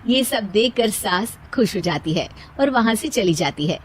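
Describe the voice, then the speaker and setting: A woman's voice: medium pitch, animated, measured pace, a little hiss or hum. A woman narrating a scripted moral story for children as the storyteller.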